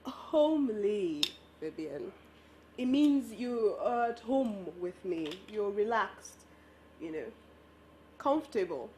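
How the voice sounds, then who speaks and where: A woman's voice: mid-range voice, expressive, slow, warm tone, slight background noise. An actress playing a busy, friendly office woman chatting with a male colleague in a stage play. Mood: admiring.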